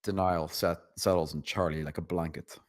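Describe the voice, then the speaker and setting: A man's voice: sits low, fairly even intonation, moderate pace. A man narrating scene directions from a screenplay at a table read. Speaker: narrator reading stage directions.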